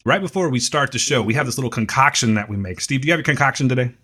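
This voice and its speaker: A man with a scratchy, slightly hoarse voice, co-hosting a podcast in casual chat, teasing and explaining with mock authority. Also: mid-range voice, animated, rapid-fire, bright, forceful tone. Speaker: podcast co-host.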